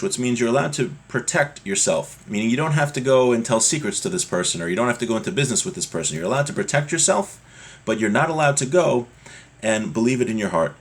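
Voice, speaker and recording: A man giving an explanatory religious lesson, reading Hebrew text and translating it into English in a conversational way with examples. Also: medium pitch. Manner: conversational, explains with hypothetical examples and acted-out quotes. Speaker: religious teacher giving a Torah class.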